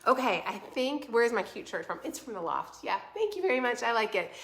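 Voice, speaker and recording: A woman's voice: fairly high pitch, big pitch swings, fairly quick, a bit bright. A woman in her forties with a congested voice, chatting casually and encouragingly to viewers as a livestream host. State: stuffy, congested voice from a cold. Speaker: vlog host selling a home planner. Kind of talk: casual livestream chat, answering viewer comments.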